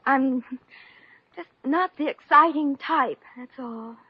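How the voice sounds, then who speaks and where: An actress playing a meek, naive young woman, timid and hesitant with a breathy voice, in 1950s radio comedy style. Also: sits high, takes it slowly, no echo. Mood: bashful. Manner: timid, hesitant, gushing softly. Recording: muffled lo-fi 1950s radio recording.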